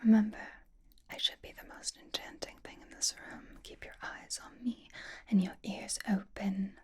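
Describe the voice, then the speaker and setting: A woman's voice: medium pitch, level tone. Woman playing a whispering, possessive vampire. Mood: doting, possessive. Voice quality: breathy whisper. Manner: intimate, languid, disdainful, drawn-out phrasing with pauses. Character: possessive, haughty, flirtatious vampire doting on a mortal. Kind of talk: ASMR roleplay, whispered.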